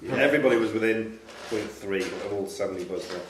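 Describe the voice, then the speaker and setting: A man's voice: lowish voice, fast talker. A man co-hosting a live quiz, announcing to the players. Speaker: quiz co-host calling buzzers and questions. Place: club function room, indoors. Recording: noisy echoey room.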